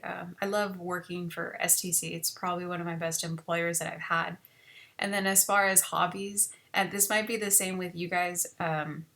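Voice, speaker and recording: A woman from South Texas, a college biology instructor, giving a relaxed, chatty online class orientation over a video call. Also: fairly low pitch. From Rio Grande Valley, South Texas. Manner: relaxed, chatty, many fillers.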